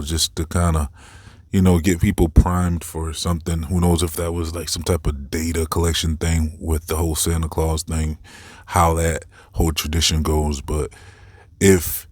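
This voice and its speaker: A man's voice: low voice, darkish tone, dry recording, studio quality. A man hosting an informal, unscripted commentary, talking in a rambling, conversational way and circling back over his points. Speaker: host of a commentary series.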